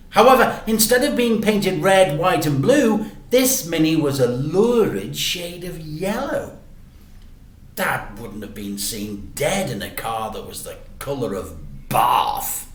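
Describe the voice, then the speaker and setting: A man reading a children's story aloud in an animated, deliberate way with dramatic emphasis. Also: on the high side. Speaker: teacher reading stories to children. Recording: echoey room.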